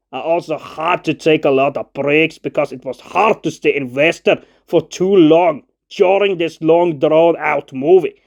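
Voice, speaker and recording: A man's voice: fairly high pitch, vocal fry, a little mellow, dull audio. A man narrating a scripted film review as a video essay, argumentative and opinionated, in formal, slightly unidiomatic phrasing.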